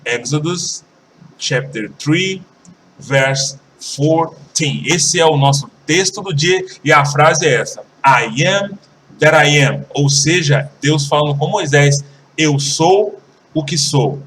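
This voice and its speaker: A Brazilian man teaching an English lesson, switching between languages and repeating example sentences slowly and carefully. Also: on the high side, expressive, a bit bright, recorded from a distance, slight background noise, low-quality recording. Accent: Brazilian Portuguese.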